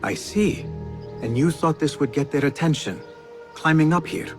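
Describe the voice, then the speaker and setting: An actor playing an earnest, kind and modest young assassin in a video game cutscene. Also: male voice, a little high, moderate pace, over music, natural voice. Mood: gentle.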